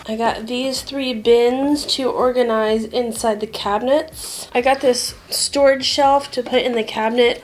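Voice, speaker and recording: A young woman with a slightly high voice talks casually through a do-it-yourself home vlog, shot on a handheld phone camera indoors. She chats in an easy, everyday way. A faint hiss sits under the recording. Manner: casual, chatty, often drops to a late-night whisper. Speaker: home vlogger. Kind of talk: casual DIY vlog narration.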